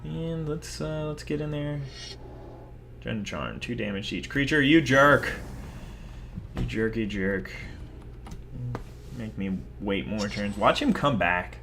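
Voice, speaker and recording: A man's voice: medium pitch, lively intonation, takes it slowly, gravelly, pauses often, fairly bright tone, slight background noise. A young man giving rambling, self-mocking commentary over his own card game, talking casually to viewers. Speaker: gaming video host.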